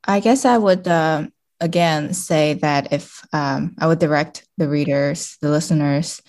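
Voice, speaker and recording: A woman's voice: low-pitched, a little slow, darkish tone. A woman speaking casually as a podcast guest, searching for her words with frequent "like" and "you know". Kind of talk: casual interview conversation.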